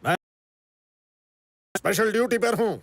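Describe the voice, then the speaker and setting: A man's voice: sits high, a little slow, fairly bright tone, slight background noise. A man voicing a scheming, menacing villain in a cartoon, with theatrical delivery. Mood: suspicious. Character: scheming cartoon villain posing as special-duty officer. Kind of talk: Hindi cartoon dubbing.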